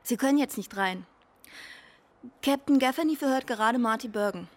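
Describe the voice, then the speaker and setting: Insistent female office clerk at a police station , played by an actress, high voice, rule-bound, some ambience, radio thriller. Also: moderate pace, slight background noise, natural voice. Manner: proper, insistent. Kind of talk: radio crime drama acting.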